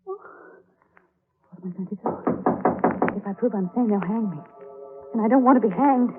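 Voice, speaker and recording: Actress as high-voiced, unhinged murderous wife, old radio. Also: female voice, a little slow, loud music behind the voice, lightly acted. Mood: desperate, panicked. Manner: melodramatic, emotional, cooing endearments. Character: Laura, unhinged murderous wife, sweetly menacing, desperate. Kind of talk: 1940s radio thriller acting. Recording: old tinny radio recording, noisy.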